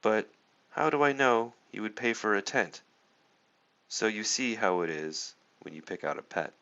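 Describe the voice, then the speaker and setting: A man reading a children's storybook aloud in an expressive, playful, rhythmic way. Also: fairly low pitch, normal speed, creaky, highs cut off. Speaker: storybook reader.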